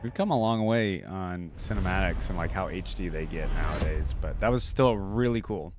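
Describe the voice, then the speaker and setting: A man's voice: low-pitched, moderate pace, music in the background. A man hosting a reaction video with informal, curious, casual commentary. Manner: informal, curious, easily intrigued.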